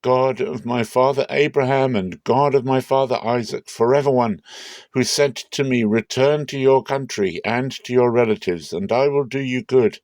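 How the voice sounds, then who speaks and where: A man's voice: mid-range voice, normal speed, a little mellow, no echo, pitched above the performer's own voice, natural voice. A man performs a humble, fearful patriarch at prayer in a dramatic scripture reading. The voice is lifted above his normal speech, earnest and pleading, with a dry sound.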